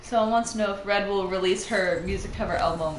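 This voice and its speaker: A woman's voice: mid-range voice, fast talker. A young woman co-hosting a gaming livestream in casual chat. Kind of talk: casual chat, reading viewer questions. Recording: roomy echo, faint background noise.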